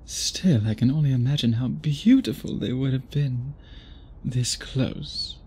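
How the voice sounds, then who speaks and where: Husky, unhurried, courtly vampire played by a man. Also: medium pitch, darkish tone. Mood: tender, teasing. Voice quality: breathy, husky. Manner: formal, archaic, deliberate phrasing. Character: centuries-old male vampire suitor, possessive, courtly, brooding. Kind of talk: intimate ASMR roleplay audio drama.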